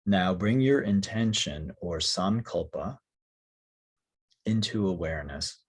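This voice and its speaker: A man leading a guided yoga nidra meditation as a teacher, speaking softly and calmly in short phrases with long pauses. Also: low voice, husky.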